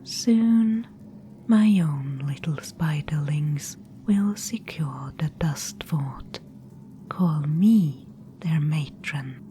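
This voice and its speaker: Woman doing a creepy spider lady guide for fantasy asmr, breathy whisper, lowish voice, super slow n patient, coaxing like a predator. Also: animated, background noise, full character voice. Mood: quietly gloating. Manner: slow, hushed, deliberate. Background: music.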